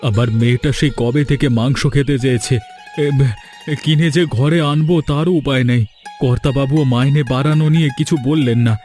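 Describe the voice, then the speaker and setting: A humble farmhand father from a cartoon fairy tale, acted by a man. His voice is mellow and soft-edged, with an anxious, pleading manner. Music plays behind him. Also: mid-range voice, fairly even intonation, brisk, natural voice. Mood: furtive, troubled. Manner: deferential, pleading.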